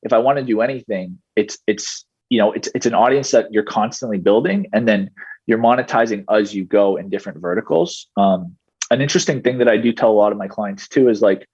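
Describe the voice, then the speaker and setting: A young man in his twenties talks in a measured, explanatory way as a podcast guest. His voice is a little low and husky. The recording is dry and somewhat muffled. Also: a little mellow, dry recording. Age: 20s. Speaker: guest, digital marketing entrepreneur. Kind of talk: podcast interview answers. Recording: dull, muffled podcast audio.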